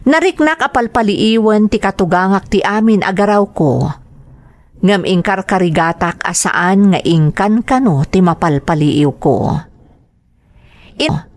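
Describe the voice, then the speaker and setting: A woman's voice: on the low side, no room sound. An actress playing a dutiful, apologetic young wife hurt by her in-laws, in a radio-style drama. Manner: apologetic, deferential.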